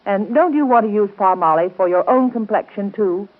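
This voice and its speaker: A thin, band-limited vintage broadcast carries a woman as commercial spokesperson. She reads in scripted 1930s advertising style, emphatic and persuasive, with a very lively rise and fall to her voice. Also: medium pitch, normal speed, phone-quality sound. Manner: emphatic, persuasive direct address.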